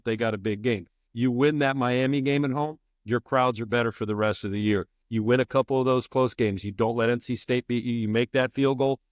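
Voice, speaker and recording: A man's voice: medium pitch, no room sound, studio quality. A middle-aged man talking as a podcast guest in an emphatic, conversational way, repeating phrases for stress. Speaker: podcast guest, former public address announcer. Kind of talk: spirited conversational interview.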